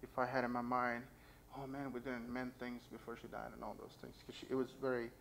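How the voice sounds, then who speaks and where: A man's voice: mid-range voice, completely flat delivery, brisk, gravelly, a bit bright, slight background noise. A young man preaching from a church pulpit, sharing his own story and reading scripture aloud with earnest feeling. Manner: earnest personal testimony, reads scripture aloud. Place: church sanctuary stage. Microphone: stand mic at pulpit, far camera.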